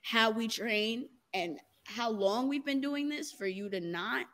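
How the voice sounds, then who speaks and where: A woman's voice: a little high, takes it slowly, a bit bright. A young adult woman, a dancer and model, talking candidly as a guest in a casual interview, often laughing and using "y'all" and "like.".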